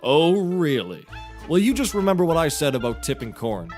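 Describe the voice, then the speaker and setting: An actor playing a sensible, articulate private eye with a dry, teasing, wordy way of talking. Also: male voice, a little high, very animated, moderate pace, darkish tone, faint music behind. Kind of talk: comedic mock-noir dialogue.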